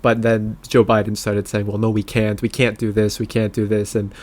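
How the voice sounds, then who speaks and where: A man's voice: lowish voice, little pitch movement, moderate pace, darkish tone. A man co-hosting a casual political podcast, bantering in a sardonic, mocking manner. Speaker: podcast co-host.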